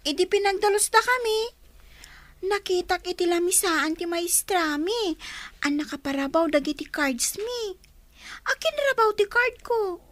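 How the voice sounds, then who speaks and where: Woman playing a very high-pitched, pleading schoolgirl. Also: lively intonation, unhurried, a bit bright, dry recording, heavily acted. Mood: eager. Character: young schoolgirl daughter, earnest and protesting. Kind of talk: radio comedy-drama acting.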